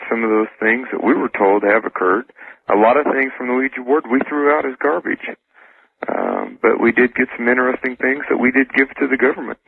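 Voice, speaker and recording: An American man answering interview questions as a radio guest, husky, polite and matter-of-fact. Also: medium pitch. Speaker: radio show guest, ex-soldier. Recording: tinny phone line on radio.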